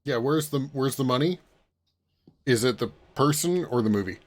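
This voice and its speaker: A man's voice: mid-range voice, expressive, normal speed. A man bantering casually as a podcast host, with a wry, joking way of talking.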